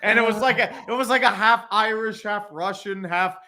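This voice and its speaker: A man's voice: high-pitched, moderate pace, pushed and bright. A man on a casual sports podcast panel, giggly and rambling. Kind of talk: casual chat.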